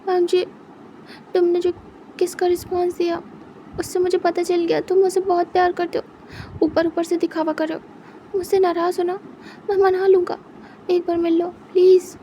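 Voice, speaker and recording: Possessive young lover, put on by a woman storyteller; high, pushy, pleading, soft and mellow tone. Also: level tone, moderate pace, pauses often, a little hiss or hum. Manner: demanding, then wheedling and pleading.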